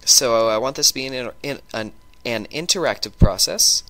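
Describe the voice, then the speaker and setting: A man with a sore throat and a stuffy nose, chatting through a gameplay video and talking in a halting way with lots of ums and restarts. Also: mid-range voice, animated, bright, forceful tone, no echo. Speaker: gaming YouTuber. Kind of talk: casual gameplay commentary.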